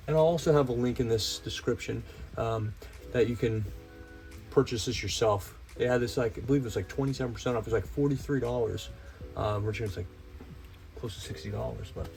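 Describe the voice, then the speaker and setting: A man's voice: medium pitch, a little mellow, poor audio. A man hosting a DIY vlog, chatting informally and rambling a little as he works hands-on. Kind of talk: casual hands-on vlog chat. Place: in and around a camper van. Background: music.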